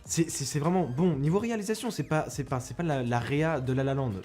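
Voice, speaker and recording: A man's voice: on the high side, brisk, darkish tone, soft background music. A young man giving a casual spoken film review, rambling and self-mocking with many restarts, close to a microphone.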